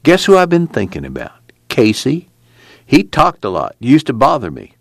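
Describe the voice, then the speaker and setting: A young man on the run, weary but quietly resolute, voiced by an audiobook reader. He talks low and unhurried in plain rural speech, and his pitch rises and falls freely. The voice sits well below the reader's own. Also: wildly swooping pitch, vocal fry, no room sound, clean studio sound, heavily acted. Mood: reflective. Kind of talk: audiobook character reading.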